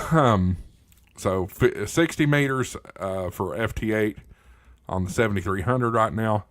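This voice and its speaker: A low-voiced man hosts a casual livestream from home, speaking right up on a studio mic. His delivery is folksy and unscripted, with halting pauses as he addresses his viewers. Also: fairly bright tone. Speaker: livestream host of a ham radio channel. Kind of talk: casual livestream chat answering viewer questions. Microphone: close directional studio condenser microphone, spoken right up on it.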